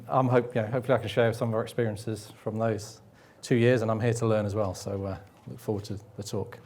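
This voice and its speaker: A man's voice: mid-range voice, a little mellow. A man introducing himself on a conference panel as a training director, friendly and hesitant with fillers.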